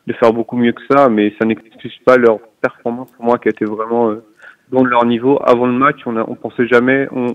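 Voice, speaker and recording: A man phoning in to a football show, sharing his view in a halting, hesitant way. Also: medium pitch. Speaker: caller. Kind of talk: casual call-in opinion. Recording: dull, muffled phone line.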